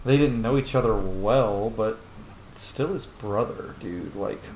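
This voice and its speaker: A man's voice: fairly low pitch, takes it slowly, darkish tone, roomy sound, a little hiss or hum, rough recording. A man giving casual, sweary gameplay commentary, talking informally to the game's characters as he plays. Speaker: gamer, let's play host.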